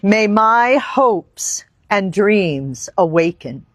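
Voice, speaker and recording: A woman leads a yoga meditation at a very measured pace. She phrases each thought deliberately and reverently, leaving long pauses between them. Her mid-pitched voice comes through dry, with little echo. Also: lively intonation. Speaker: yoga teacher. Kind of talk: guided meditation and yoga instruction. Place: outdoor park.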